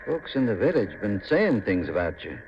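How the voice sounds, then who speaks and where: A man's voice: on the low side, big pitch swings, moderate pace. An actor playing a plain-spoken, fatherly older village man in a 1950s radio drama, with a rustic manner. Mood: gossipy, uneasy. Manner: folksy, rustic. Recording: muffled 1950s radio recording, faint noise.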